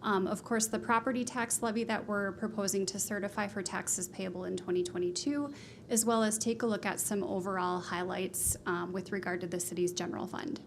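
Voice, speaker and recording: A soft-spoken woman presenting budget figures to a city council, polished with occasional ums. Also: mid-range voice. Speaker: finance consultant presenting. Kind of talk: formal presentation. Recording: noisy meeting room recording.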